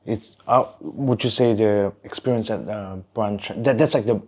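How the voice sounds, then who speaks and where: A man's voice: on the low side, fairly even intonation, moderate pace, darkish tone. A man hosting a casual podcast interview, often chiming in with short agreement.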